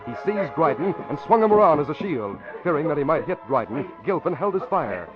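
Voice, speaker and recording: Casting: dramatic 1940s radio serial narrator, male, highish pitch, lo-fi recording, heavy music behind. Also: sing-song, brisk. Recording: muffled old radio, loud music behind. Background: loud dramatic music.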